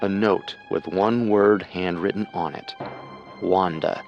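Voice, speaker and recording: Male true-crime co-host. Low pitch, measured dramatic scripted read, soft music underneath. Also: steady tone, highs cut off. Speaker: co-host narrator.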